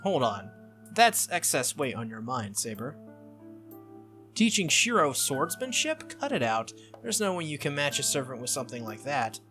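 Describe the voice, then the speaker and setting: Teen girl mage, man performing: bossy, blunt, scolding, bright tone, visual novel read. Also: medium pitch, sing-song, moderate pace, soft background music.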